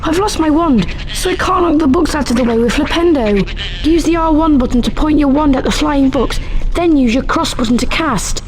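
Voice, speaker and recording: A woman's voice: sits high, animated, normal speed, noisy background. A woman voicing a friendly, grumbling young British schoolboy wizard in a video game, chummy and exclamatory. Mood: helpful. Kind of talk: scripted video game acting. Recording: music behind game dialogue.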